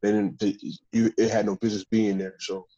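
A man, a professional football player, telling stories in a casual interview with a deep voice and a loose, rambling way of talking. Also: level tone, fairly quick, mellow, no echo, lo-fi sound. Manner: laid-back, rambling with restarts. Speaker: pro football player guest.

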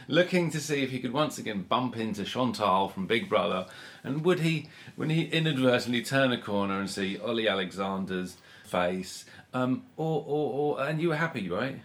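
A man in his forties co-hosting a podcast in casual chat, teasing his friend and trailing off quietly at the ends of thoughts. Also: mid-range voice. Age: 40s. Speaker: podcast co-host.

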